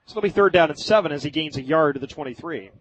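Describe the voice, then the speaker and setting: An American man doing live radio play-by-play of high school football in vivid, colloquial language. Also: fast talker, highs cut off, slight background noise.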